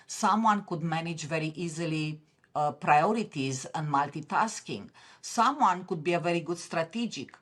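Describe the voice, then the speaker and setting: A woman's voice: low voice, expressive, normal speed. A woman with a Romanian accent, a podcast guest speaking earnestly and passionately, often restarting her sentences. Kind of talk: interview conversation.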